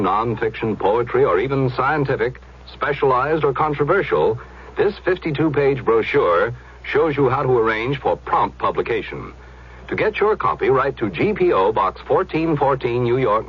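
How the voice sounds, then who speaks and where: A man's voice: medium pitch, slightly rough. A man with an American accent reading a scripted radio advertisement as a commercial announcer. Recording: muffled vintage radio recording.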